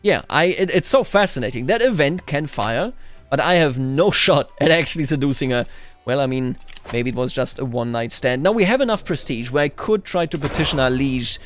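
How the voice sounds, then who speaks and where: A man's voice: medium pitch, expressive, brisk. A man gives casual roleplay gameplay commentary, musing aloud and slipping into in-character boasting. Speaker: video game let's player. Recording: background music under the voice. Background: music.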